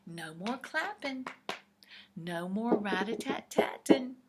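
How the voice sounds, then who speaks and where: A woman reading a picture book aloud to young children in an expressive, deliberate, explanatory way. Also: medium pitch, heavy background noise.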